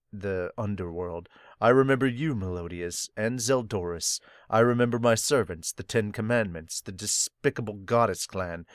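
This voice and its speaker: A brooding demon king of untold age, read by a man. He speaks slowly and gravely, in a low, somber voice. The sound is dry and close. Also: no echo, lightly acted. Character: ancient grim male demon king ruler.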